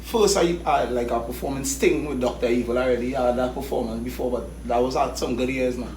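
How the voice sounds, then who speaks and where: A man's voice: mid-range voice, brisk, darkish tone. A man with a Trinidadian accent, a hip hop artist talking casually as an interview guest, laid-back and often saying "you know what I mean". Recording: echoey room, mic at a distance, background noise.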